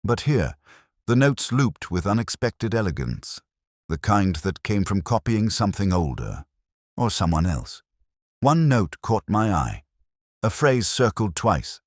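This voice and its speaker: A man playing a brooding, observant police inspector, narrating his case in a measured, understated, literary way for an audio drama. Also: on the low side, big pitch swings, dry recording, studio quality. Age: middle-aged. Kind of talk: scripted first-person detective narration, audio drama.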